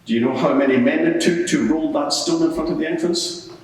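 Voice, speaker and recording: A man's voice: on the high side, a little fast, close to an everyday voice. A man playing a probing, sceptical courtroom advocate who fires insistent, pointed leading questions in a dramatised cross-examination. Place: large reverberant courtroom. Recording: echoey hall, distant microphone.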